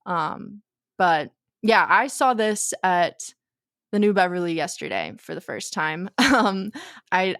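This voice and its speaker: A woman's voice: lowish voice, no room sound, clean studio sound. A young woman co-hosting a film podcast, chatting casually with many ums. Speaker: podcast co-host.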